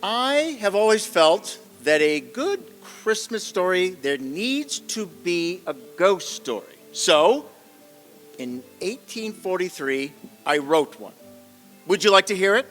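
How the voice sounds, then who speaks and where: A man playing a genial Victorian author who tells his tale in a deliberate, dramatic manner for a staged radio play. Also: high-pitched, very animated, a bit bright, exaggerated voice. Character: genial, theatrical male Victorian author and storyteller. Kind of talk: old-time radio play narration on stage. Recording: long hall reverb, music behind.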